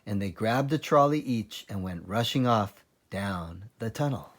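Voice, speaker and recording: A man narrates a children's story with dramatic expression. His delivery is slow and deliberate, with lively rises and falls in pitch. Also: a little hiss or hum. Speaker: children's story reader, librarian. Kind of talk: expressive scripted read-aloud narration.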